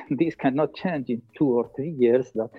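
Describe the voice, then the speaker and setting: Husky Algerian man on a phone-like line. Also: mid-range voice, unhurried, dry recording. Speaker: climate policy consultant, interview guest. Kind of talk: explanatory interview answers. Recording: thin phone-like call audio, faint music.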